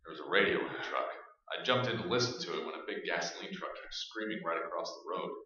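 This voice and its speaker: Guy playing tough take-charge survivor in radio horror play, very sharp edgy voice, blunt, big echo, dull lo-fi sound. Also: low-pitched, animated, fast, exaggerated voice. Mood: grim recounting. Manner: urgent, blunt. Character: resolute, commanding male survivor of monster siege. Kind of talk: staged radio play acting. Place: live stage performance. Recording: distant, very echoey, muffled stage recording.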